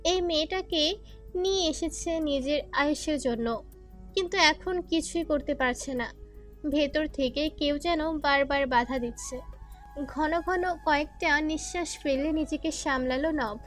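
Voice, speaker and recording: A woman's voice: high voice, a little slow. A woman narrator reading a scripted dramatic story. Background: faint music.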